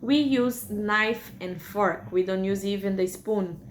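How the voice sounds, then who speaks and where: A young woman from Brazil with a Brazilian Portuguese accent, chatting casually in a vlog, halting and full of fillers. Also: medium pitch, a little hiss or hum. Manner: halting and hesitant, many fillers. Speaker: vlogger.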